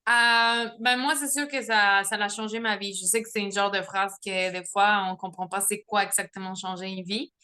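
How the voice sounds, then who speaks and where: Bright-voiced young mother, Mexican-born. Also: mid-range voice, fairly even intonation, normal speed, few pauses. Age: young adult. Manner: chatty, laughing, many fillers and restarts. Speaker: testimonial speaker. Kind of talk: casual spoken testimony. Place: home, with children nearby.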